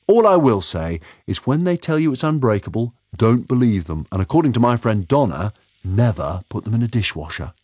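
A man playing an excitable, kindly alien time traveller who rambles enthusiastically in an audiobook story. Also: mid-range voice, brisk, breathy edge, no room sound, voice pushed up. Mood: excited, rambling.

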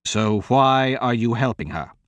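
A man voicing a cold, sardonic young male thief who talks in a dry, clipped, deadpan way. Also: medium pitch, a little slow, no echo, highs cut off, lightly acted.

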